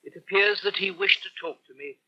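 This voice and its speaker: A man's voice: high-pitched, a little fast. Pompous elderly English father, dull recording. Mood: formal, concerned. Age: older. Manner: pompous, formal phrasing. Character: stuffy, pompous, worried elderly father and grandfather. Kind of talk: 1970s radio soap acting. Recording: dull, lo-fi old radio tape.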